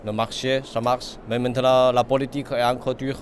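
Man speaking halting French, Chinese accent. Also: medium pitch, flat delivery, slight background noise. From China. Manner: halting non-native French, frequent pauses and fillers. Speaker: artist. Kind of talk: interview answers.

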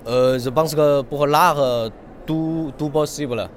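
Man speaking halting French, Chinese accent. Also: on the high side, a little hiss or hum. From China. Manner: halting non-native French, frequent pauses and fillers. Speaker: artist. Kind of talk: interview answers.

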